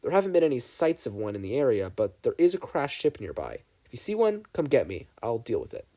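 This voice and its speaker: A man acts out a teenage boy who was raised as a soldier. He is earnest and guarded, firm and matter-of-fact, with a soft-edged, mellow voice and expressive pitch in an audiobook story. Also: a little fast. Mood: firm, serious. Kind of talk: fan fiction audiobook character reading.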